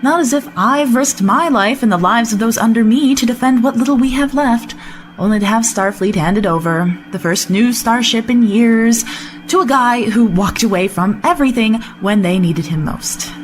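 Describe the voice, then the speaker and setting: A woman's voice: fairly high pitch, normal speed, soft background music, natural voice. Blunt, bitter starship commander played by a woman. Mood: bitter, angry. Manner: sarcastic, blunt. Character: combative female starship commander, bitter and proud. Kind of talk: audio drama acting.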